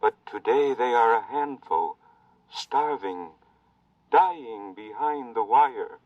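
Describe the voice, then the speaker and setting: Slow, echoing, solemn Martian exile. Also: male voice, mid-range voice, expressive. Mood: solemn, mournful. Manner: slow, formal, halting speech in a learned tongue. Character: solemn, weary Martian exile, thin and spidery. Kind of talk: mid-century American radio drama acting. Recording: muffled old radio recording, distant, echoing.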